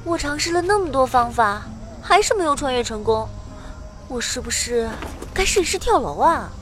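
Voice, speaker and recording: A woman playing an excitable teenage schoolgirl transported into a fantasy world in an audio drama, exclaiming and talking to herself playfully. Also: high-pitched, normal speed, soft background music. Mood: frustrated, curious. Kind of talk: Chinese audio drama acting.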